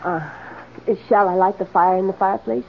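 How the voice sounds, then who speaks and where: An actress playing a timid, hesitant, deferential household servant in an old radio drama. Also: female voice, on the low side, fairly even intonation, moderate pace, lightly acted. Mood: meek. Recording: dull, faintly noisy old radio recording.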